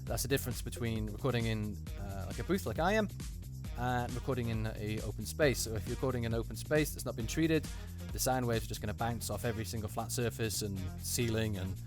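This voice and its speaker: Guy voice actor giving casual audition tips from a booth, mid pitch, music playing behind him. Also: fast. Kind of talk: casual unscripted critique and tips. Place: acoustically treated recording booth.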